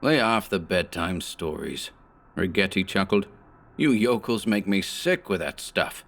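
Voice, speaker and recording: A man's voice: lowish voice, moderate pace, a bit bright, natural voice. A man voicing a short, sallow gang boss who talks in harsh, clipped tough-guy slang. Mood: mocking.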